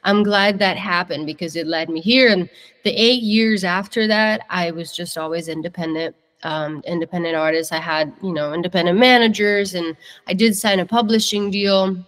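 A woman's voice: fairly low pitch, steady tone, moderate pace, a bit bright, in a large hall. A young woman from Italy, a singer-songwriter telling her story in a casual interview, chatty and candid.

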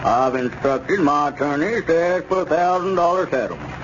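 A pompous scheming squire. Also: male voice, a little high, big pitch swings, moderate pace. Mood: smug. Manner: self-important, whining about his suffering. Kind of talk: 1930s radio comedy acting. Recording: dull scratchy 1930s radio broadcast.

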